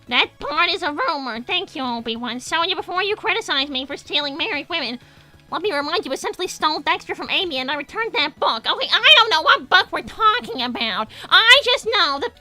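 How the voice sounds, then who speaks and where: A woman's voice: very high-pitched, big pitch swings, a little fast, bright, forceful tone, soft background music, exaggerated voice. A woman voicing a bratty, defensive young female hedgehog, indignant and sassy, in improvised livestream comedy. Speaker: character host reading viewer messages.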